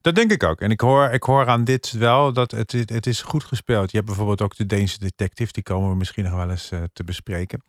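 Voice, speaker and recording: A man's voice: fairly low pitch, brisk, hardly any pauses, no room sound, studio quality. A middle-aged or older man talking earnestly and explaining things in a casual podcast review.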